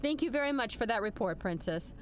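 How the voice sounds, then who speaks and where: A woman acting a commanding female general in an audio drama, brisk, formal and dryly sarcastic. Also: fairly high pitch, expressive, a little hiss or hum, close to an everyday voice.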